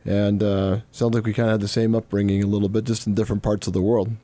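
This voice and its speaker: A man's voice: lowish voice, level tone, fast, mellow, dry recording. A man hosting a radio interview show, upbeat and repeating his questions as he steers the talk. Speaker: radio host.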